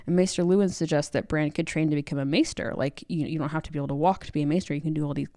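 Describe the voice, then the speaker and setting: A woman's voice: low-pitched, fairly even intonation, very fast, mellow, no room sound, clean studio sound. A woman co-hosting a book club podcast, recapping and discussing a story in a casual, conversational way.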